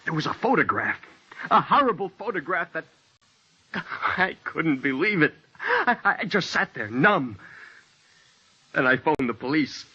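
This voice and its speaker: A man acting a distraught young son who pleads his innocence, halting and intense, in an old-time radio drama. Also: fairly high pitch, big pitch swings, sharp tone, husky, heavily acted. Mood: distraught, numb. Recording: dull lo-fi old radio recording.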